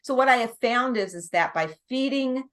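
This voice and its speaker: A woman's voice: on the high side, expressive, normal speed, a bit bright. A middle-aged American woman, a nurse practitioner as a guest, explaining at length in casual conversation, with many restarts and small laughs. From United States.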